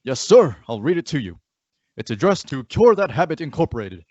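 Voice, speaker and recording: A man's voice: a little high, sing-song, a little fast, darkish tone, no room sound. A man playing a formal, indignant businessman father in an old-time radio comedy, with stiff manners. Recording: dull lo-fi radio drama audio.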